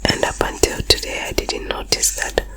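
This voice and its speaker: A woman with a cold and a blocked nose, whispering softly as she hosts an ASMR personal attention video. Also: normal speed. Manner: soft unvoiced whisper throughout. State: congested from a cold. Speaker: ASMR host.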